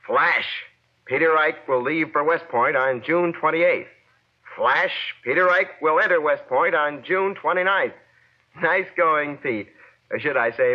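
A young man reading a scripted news roundup as a guest correspondent on 1940s radio. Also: medium pitch. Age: young adult. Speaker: guest correspondent, former child quiz contestant. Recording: dull lo-fi vintage 1940s radio broadcast.

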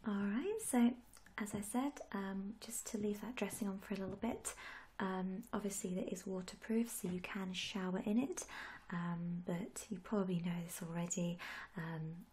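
A young woman speaking gently and softly in an ASMR roleplay, often slipping into a whisper. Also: medium pitch, moderate pace. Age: young adult. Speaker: ASMR creator playing a tattoo artist. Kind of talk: soft-spoken ASMR roleplay. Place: small room indoors.

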